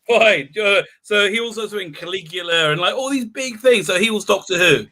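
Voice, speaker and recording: A man hosting a casual livestream, talking excitably and often stammering and repeating words. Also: sits high, a little fast. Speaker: talk show host.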